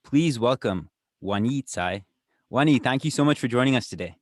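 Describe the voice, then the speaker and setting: A man's voice: medium pitch, expressive, moderate pace, dry recording, clean studio sound. A man hosting a podcast interview, introducing his guest and asking questions with many hesitant fillers.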